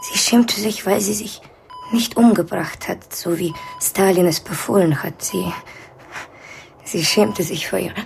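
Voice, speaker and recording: A woman playing a distraught, ashamed woman in her thirties in a radio drama, halting, hushed and repeating her phrases. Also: medium pitch, creaky, slight background noise. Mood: anguished, ashamed. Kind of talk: naturalistic radio drama acting.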